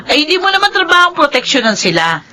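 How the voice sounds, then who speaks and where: Very high, sharp-voiced fretful wife. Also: female voice, big pitch swings, fast, slight background noise, full character voice. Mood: reproachful. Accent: Filipino. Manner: nagging, anxious, pleading. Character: worried, scolding, hardworking wife and seamstress. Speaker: radio drama actress. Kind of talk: Philippine radio drama acting.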